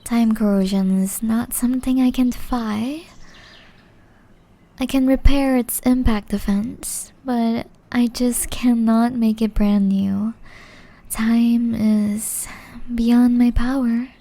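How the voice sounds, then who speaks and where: A gentle, ageless witch voiced by a woman speaks in a fairly high but soft and mellow voice. She takes her time, often slipping into a breathy whisper. Her manner is caring and tenderly teasing, made for close, intimate ASMR roleplay. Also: fairly even intonation, slow pace. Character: gentle, centuries-old witch, caring, softly chiding healer.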